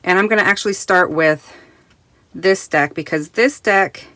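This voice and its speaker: A woman reviewing a tarot deck in casual, rambling chat full of restarts, recorded in a quiet closet at home, her voice still recovering from being lost. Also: lowish voice, moderate pace, dry recording. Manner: chatty, rambling with many restarts and fillers.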